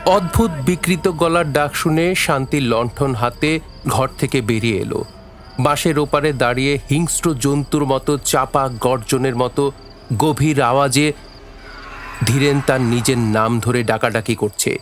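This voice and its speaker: A man narrating a scripted audio drama story in a measured, literary reading style. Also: mid-range voice, soft background music.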